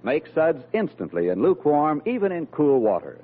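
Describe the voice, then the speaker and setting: An American man with a husky voice giving a polished, persuasive 1940s radio advertising read. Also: fairly high pitch, big pitch swings, moderate pace. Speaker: commercial announcer. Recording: narrow-band vintage radio recording.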